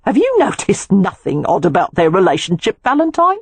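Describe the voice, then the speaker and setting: A woman's voice: medium pitch, huge pitch swings, a little fast, breathy edge, dry recording, voice pushed up, heavily acted. A woman voicing a shrewd older housekeeper who speaks in a pointed, wry way.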